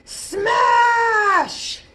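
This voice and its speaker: A woman voicing an exuberant, very loud little boy, shouting excitedly in a storybook reading. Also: extremely slow, heavily acted. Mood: booming. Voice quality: shouting, high. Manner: explosive, excitable.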